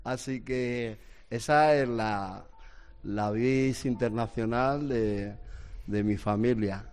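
A man's voice: mid-range voice, slow, darkish tone, smooth. A man in his sixties from Spain, a guest telling family stories in a casual, hesitant, rambling way. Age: 60s. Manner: rambling, hesitant storytelling with restarts. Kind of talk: casual chat.

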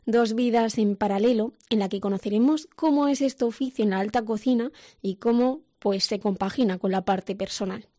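A woman narrates a TV news feature with a mellow, warm tone. Her reading is measured and composed. The sound is dry and close. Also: fairly high pitch, no echo. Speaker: TV reporter. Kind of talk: scripted news report narration.

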